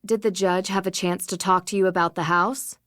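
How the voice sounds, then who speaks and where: A woman's voice: lowish voice, steady tone, fairly quick, fairly bright tone, no room sound, clean studio sound, lightly acted. A young woman voicing a level-headed, polite, earnest female detective in a video game. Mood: kind, encouraging.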